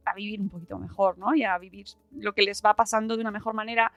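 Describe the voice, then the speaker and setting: A woman's voice: medium pitch, fairly even intonation, fast, no echo, lo-fi sound, soft background music. A middle-aged woman with a Spain accent chatting casually and informally as a podcast host. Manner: chatty, informal, laughs often. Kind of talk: casual chat.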